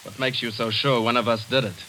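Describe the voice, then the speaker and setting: A man's voice: mid-range voice, steady tone, fairly quick, pushed and bright, natural voice, poor audio. Man acting a tense, evasive young fiancé. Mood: edgy, upset. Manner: edgy, evasive. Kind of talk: 1940s radio acting. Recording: hissy old radio recording.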